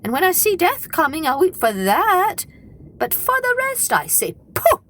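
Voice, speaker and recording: A woman's voice: extremely high pitch, huge pitch swings, measured pace, fairly bright tone, slight background noise, voice pushed up, full character voice. A woman voicing a plump, merry porpoise in a storybook, puffing, chuckling and scoffing as it talks.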